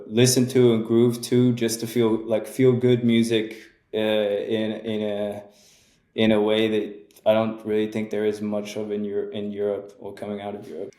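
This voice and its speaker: A young man in his twenties talks about making music in a relaxed, halting way, full of fillers and self-corrections. His voice is soft and mellow. The room around him is noticeably echoey. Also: on the low side. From England. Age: 20s. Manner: hesitant, many fillers and restarts. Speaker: music producer guest. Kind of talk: casual interview chat.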